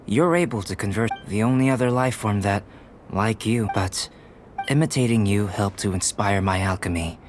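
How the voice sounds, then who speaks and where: Soft-spoken scholarly alchemist voiced by a man for a game, calm and measured. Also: on the low side, a little hiss or hum, lightly acted. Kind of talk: video game voice acting.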